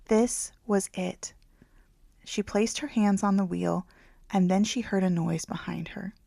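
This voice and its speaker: A woman reading a romance audiobook as its author, in a dramatic storytelling manner with hushed phrase endings. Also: medium pitch, steady tone, measured pace, gravelly, no room sound, clean studio sound. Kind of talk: scripted narration, romance audiobook.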